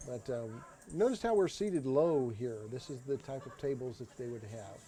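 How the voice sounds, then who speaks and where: A man giving an unscripted tour voice-over, explaining sights in a halting way and often repeating his phrases. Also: mid-range voice, lively intonation, dark tone. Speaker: tour narrator. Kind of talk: unscripted travel video voice-over.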